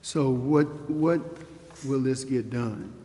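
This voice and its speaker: A man's voice: medium pitch, takes it slowly, dark tone. A man asking informal questions as a participant in a meeting. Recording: noisy, echoey hall.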